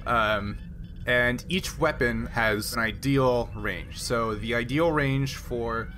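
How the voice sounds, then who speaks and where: A man's voice: mid-range voice, slow, pushed and bright. A man running a tabletop game as game master, casually explaining rules in a hesitant, step-by-step way. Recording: noisy background ambience.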